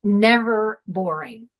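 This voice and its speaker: Lively middle-aged woman guest. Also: medium pitch, muffled recording. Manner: lively, laughs often, self-deprecating. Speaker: guest, executive trainer. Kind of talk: casual interview chat.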